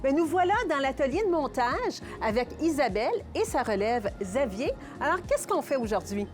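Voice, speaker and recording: A woman's voice: high voice, very animated, moderate pace, soft background music. A woman with a Quebec French accent hosting a local TV magazine, speaking in a polished, enthusiastic presenter style. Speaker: TV host and interviewer.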